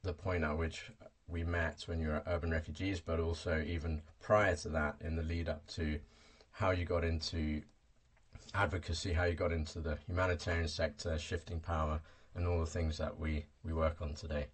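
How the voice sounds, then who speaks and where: Deep husky man hosting a podcast. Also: level tone, moderate pace. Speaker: podcast host. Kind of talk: scripted podcast introduction and interview question.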